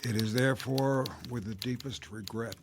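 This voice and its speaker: An actor playing a weary, grave army captain in a radio drama, speaking in a measured, somber way. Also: male voice, medium pitch, close to an everyday voice. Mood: somber, troubled. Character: weary, grave male army captain commanding a war company. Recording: music under the voice.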